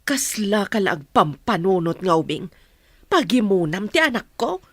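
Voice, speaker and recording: A woman's voice: medium pitch, very animated, measured pace, no room sound. A woman acting in a radio drama as a protective mother, reproachful and scolding.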